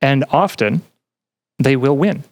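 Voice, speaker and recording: A young adult podcast guest in casual chat, explaining ideas earnestly and pausing to find words. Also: medium pitch. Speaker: podcast guest, student representative.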